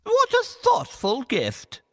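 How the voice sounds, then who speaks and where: A man's voice: very high-pitched, huge pitch swings, takes it slowly, creaky, dry recording, clean studio sound, heavily acted. A man voicing a plump, pleased king in a children's storybook. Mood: delighted. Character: plump, pleased king who loves good food.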